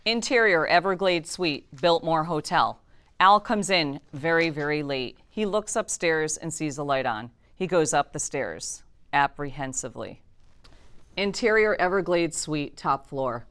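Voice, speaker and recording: Woman reading stage directions, pretty deep voice, script narration at a table read. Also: lively intonation, a little slow, fairly bright tone, clean tone.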